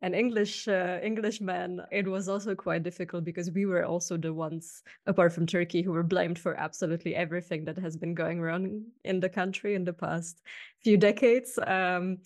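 A woman from Eastern Europe hosts a podcast interview in a slightly low voice. She talks casually and informally, rambling a little as she goes. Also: fairly even intonation, normal speed, darkish tone. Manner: informal, rambling phrasing with frequent "like".

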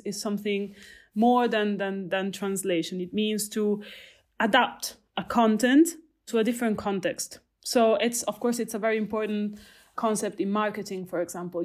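A woman's voice: mid-range voice, darkish tone. Reflective Italian woman researcher. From Italy. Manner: thoughtful, many fillers and restarts. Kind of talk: reflective conversational talk.

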